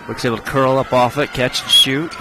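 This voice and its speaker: Mid-pitched male analyst breaking down sets like a coach, court noise plain underneath. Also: steady tone, a little fast. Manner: coach-like breakdown of plays and spacing. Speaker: color commentator. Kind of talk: basketball color commentary. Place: gym at a high school basketball game. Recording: game broadcast with gym noise. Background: crowd and court noise of the game.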